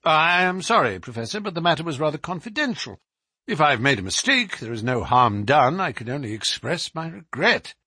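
A man playing a composed, amiable gentleman detective, polite and formal, in a storybook reading. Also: on the high side, big pitch swings, a little fast, breathy edge, dry recording, studio quality, voice pushed up, full character voice. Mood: polite, guarded. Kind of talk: dramatised audiobook reading.

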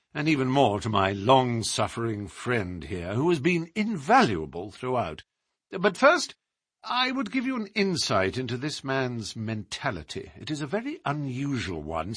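A man voicing a self-assured gentleman detective in an audiobook, explaining in a precise, formal, theatrical way. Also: medium pitch, slightly rough, no echo, studio quality, voice pushed up, full character voice.

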